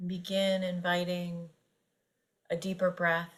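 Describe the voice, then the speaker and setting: Woman, meditation guide, lowish pitch, very slow pace, gentle delivery, long pauses. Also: completely flat delivery. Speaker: yoga nidra meditation guide.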